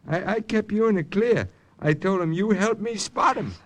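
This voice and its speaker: A man's voice: sits high, moderate pace, lightly acted. An actor playing a smug, sly younger man, an informer with a falsely casual, needling manner, in old-time radio drama. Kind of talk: 1940s-50s radio drama acting.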